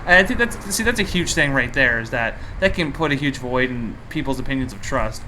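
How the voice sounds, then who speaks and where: A man's voice: mid-range voice, expressive, brisk, pushed and bright, poor audio. A man hosting a casual interview, informal and bantering as he chimes in. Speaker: podcast host, interviewer. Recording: faint background noise, room ambience.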